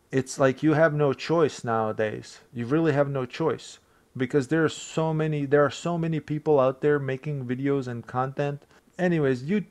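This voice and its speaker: A man from Bosnia with a Bosnian accent, English his second language, casually talking through a software tutorial for his channel with rambling asides and self-deprecating chuckles. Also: medium pitch. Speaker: tech tutorial creator. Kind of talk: casual screen-recorded tutorial.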